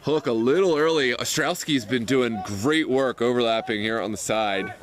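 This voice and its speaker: A man doing loud live play-by-play for an amateur soccer match from the sideline, his voice lifting on attacking moves. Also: mid-range voice, normal speed. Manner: loud, excitable calls on attacks. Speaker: play-by-play commentator. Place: outdoors at a soccer field sideline. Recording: outdoor field with crowd noise. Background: crowd.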